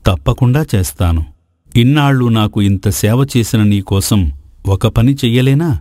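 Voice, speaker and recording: A man's voice: mid-range voice, normal speed, darkish tone, no room sound, studio quality, lightly acted. A man playing a courtly, self-serving ascetic sage. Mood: curious, gracious. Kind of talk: dramatised moral folk tale.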